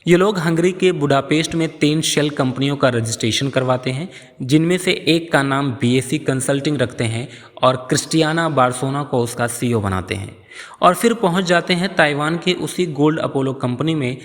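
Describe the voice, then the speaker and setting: A man's voice: on the high side, moderate pace. A man giving scripted explainer narration in an explanatory, storytelling manner. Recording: long reverb on voice.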